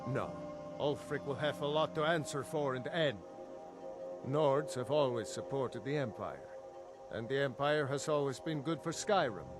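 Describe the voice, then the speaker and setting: A man's voice: medium pitch, normal speed, music in the background, natural voice. A man playing a middle-aged village blacksmith in a fantasy game, earnest, opinionated and loyal to his empire. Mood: indignant. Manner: earnest, opinionated, matter-of-fact. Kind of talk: fantasy video game dialogue.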